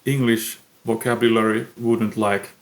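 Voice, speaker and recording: Young finnish guy on a podcast, talks slow and flat, stops to think a lot. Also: fairly low pitch, poor audio. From Finland. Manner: slow, thoughtful, pausing often. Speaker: musician guest. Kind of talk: podcast interview.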